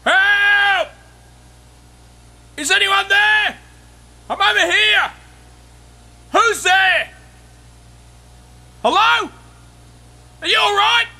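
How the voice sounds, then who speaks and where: A male actor playing a streetwise, excitable former squatter in a radio play, slangy and urgent, with a husky Australian accent. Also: extremely high pitch, very slow, pauses often, exaggerated voice. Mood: panicked. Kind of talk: radio drama acting.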